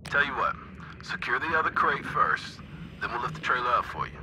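An actor playing a steady, watchful male salvage boss in a video game, giving businesslike check-ins to a diver. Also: medium pitch, fairly quick, close to an everyday voice. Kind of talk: naturalistic video game voice acting. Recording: clear boat and water noise behind.

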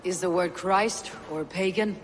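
A woman's voice: fairly low pitch, unhurried, in a large hall, slight background noise, lightly acted. A woman playing a stern, blunt young Viking warrior in a video game, speaking in a terse, dry, guarded manner. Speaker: actor in a video game cutscene. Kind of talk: video game drama acting.

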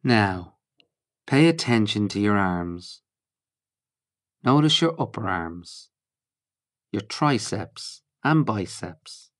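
Slow, low-voiced man guiding meditation. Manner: calm, measured, instructional, in short pausing phrases. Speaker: mindfulness guide. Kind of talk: guided meditation narration.

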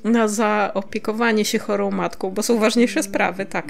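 Woman chatting casually, mid-pitched, halting. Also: fairly even intonation, gravelly. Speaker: podcast co-host. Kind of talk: casual conversational podcast discussion.

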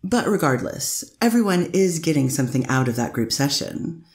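Low-voiced woman lawyer doing a steady cross-exam read by a woman, echoey room. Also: moderate pace. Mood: steady questioning. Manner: methodical questioning, many "is that fair to say". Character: careful woman lawyer cross-examining a witness. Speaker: defense attorney. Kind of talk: courtroom transcript reading.

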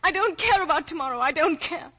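A woman's voice: very high voice, expressive, fast talker, pushed and bright, exaggerated voice. An actress playing a passionate, reckless young woman in 1940s radio drama style. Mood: fervent. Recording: muffled old 1940 radio recording.

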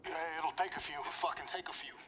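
A man's voice: on the high side, fairly even intonation, fast, background noise, lightly acted. A man playing a bullying, mocking gang boss in a video game, profane and domineering in street slang. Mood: irritated, commanding.

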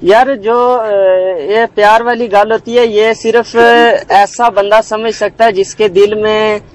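A man chatting flirtatiously on a recorded phone call, in a halting, coaxing manner. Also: sits high, little pitch movement. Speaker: prank caller.

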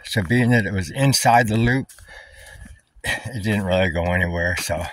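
A man's voice: on the low side, normal speed, a bit bright. A man thinking aloud as he films himself walking a wooded trail outdoors, wondering about what he sees. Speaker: hiker vlogger. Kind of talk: casual walking commentary. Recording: slightly distant mic, faint background noise.

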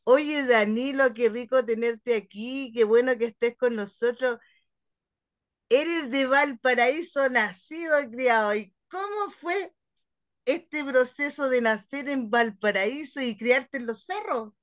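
A woman's voice: high-pitched, takes it slowly. A woman in her forties with a Chilean accent, interviewing casually over a video call from home. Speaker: interviewer, host. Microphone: computer mic on a video call.

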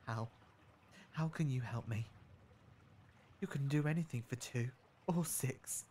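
An actor playing a bitter, secretive captive in an audio drama, sardonic, drawn-out and theatrical. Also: mid-range voice, mellow, a little hiss or hum, natural voice. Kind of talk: fan audio drama acting.

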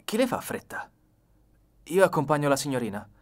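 Audiobook role: suave, self-assured young male gentleman crook, slippery, played by a male narrator. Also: a little high, sing-song, normal speed, voice pushed up. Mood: indignant.

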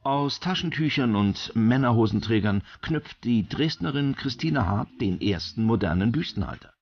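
A man's voice: mid-range voice, dull audio. A man narrating a documentary from a script.

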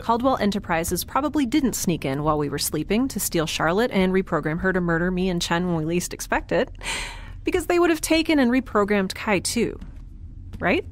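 A woman's voice: medium pitch, lively intonation, brisk, slight background noise, lightly acted. A woman playing a chatty, quirky, anxiously upbeat space dweller in an audio drama, rambling wryly and naturally. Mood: anxious, self-reassuring. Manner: rambling, wry, self-reassuring. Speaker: lead character. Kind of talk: naturalistic audio drama acting, talking to herself.

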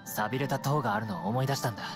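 Man playing a fast-talking young rogue. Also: medium pitch, close to an everyday voice. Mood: thoughtful, encouraging. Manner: casual, rough, decisive. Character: determined, cocky young rogue companion. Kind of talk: video game voice acting. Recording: game music behind voice.

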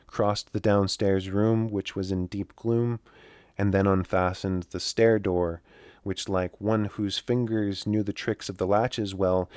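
A man's voice: on the low side, a little mellow, no echo. A man in his thirties narrating classic literature as a dramatic audiobook reading, close to the mic.

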